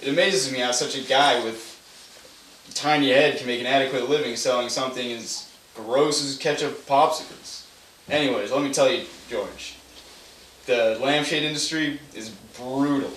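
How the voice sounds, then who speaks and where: A man's voice: medium pitch, steady tone, normal speed, fairly bright tone, lightly acted. A young man playing a petty, gossipy guy who mocks others in a rambling, emphatic way, in an amateur stage play. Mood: boastful rambling. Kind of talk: amateur one-act stage play dialogue. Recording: echoey room, distant mic, hissy noise.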